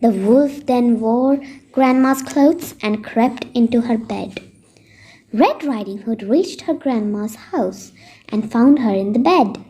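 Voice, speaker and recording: A woman's voice: a little high, takes it slowly, mellow, slightly rough. A woman storyteller reading a scripted bedtime story for children.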